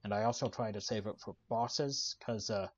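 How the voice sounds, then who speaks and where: A soft-spoken man giving casual, relaxed commentary while playing a video game, with lots of "uh" fillers. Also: lowish voice, moderate pace, dull audio. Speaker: gaming channel host. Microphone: desk microphone.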